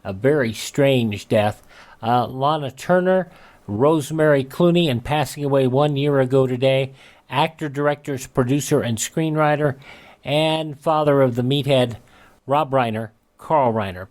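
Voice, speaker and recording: A man's voice: mid-range voice, darkish tone. A man with an American accent hosting a radio show, reading out historical dates in a scripted almanac style.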